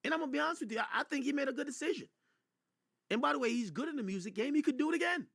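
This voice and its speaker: A man's voice: very high voice, lively intonation, rapid-fire, creaky, fairly bright tone, dry recording. Guy streaming live reaction commentary, emphatic n opinionated, swears a lot, voice swoops around, dry close sound. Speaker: streamer commenting on rap news; record label owner.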